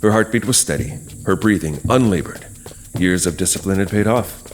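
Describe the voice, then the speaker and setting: Deep-voiced man narrating a horror story. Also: a little hiss or hum. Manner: dramatic, measured storytelling with pauses. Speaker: host and narrator.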